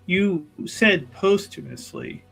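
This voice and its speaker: A man's voice: high-pitched, lively intonation, slow pace, vocal fry, fairly bright tone, husky, faint music behind, heavily acted. A man playing an earnest, wry investigator in a fantasy tabletop game, musing hesitantly and circling back over his words. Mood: puzzled, probing. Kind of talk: tabletop roleplay, improvised in character.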